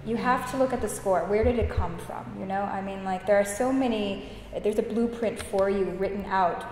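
A young American woman, an opera singer, answering interview questions with enthusiasm and frequent laughter, recorded indoors from some distance. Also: mid-range voice. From United States. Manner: enthusiastic, laughs often, warm gushing praise. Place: room with high windows, indoors. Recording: echoey room, reverb, faint noise.

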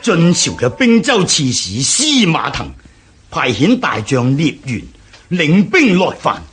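An actor playing an earnest Xiongnu noble elder urging revolt in a historical radio drama, theatrical and measured, with a Hong Kong Cantonese accent. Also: male voice, a little high, very animated, a bit bright, husky, soft background music, full character voice. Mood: reporting urgently.

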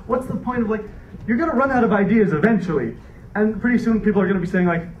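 A man's voice: high-pitched, fast, slight background noise. Young man doing stand-up on stage. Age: young adult. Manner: rambling, wry, with frequent restarts. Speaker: stand-up comedian. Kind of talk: stand-up comedy set. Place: dark theater stage before a laughing audience. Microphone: handheld stage mic, recorded from a far camera. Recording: roomy, distant, dull hall recording with crowd laughter.

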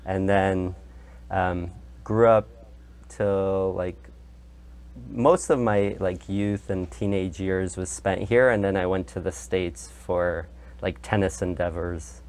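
A man's voice: low-pitched, steady tone, slow, a little mellow. A young man who grew up partly in Poland, talking as a podcast guest in a hesitant, rambling way with many fillers. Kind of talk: casual interview talk.

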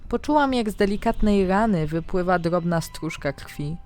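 A woman narrating a scripted fantasy diary in the first person, with dramatic, expressive storytelling. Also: fairly low pitch, moderate pace. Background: background music.

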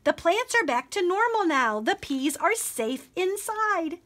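High, eager young princess read by a woman. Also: very animated, slow pace, a bit bright, heavily acted. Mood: excited. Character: eager, inventive young princess scientist in a storybook.

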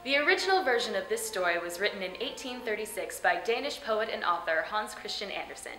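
A woman's voice: medium pitch, animated, quick pace, a bit bright. A young woman hosting with scripted, theatrical comedic storytelling narration. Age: young adult. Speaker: host. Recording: roomy sound with background music. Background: music.

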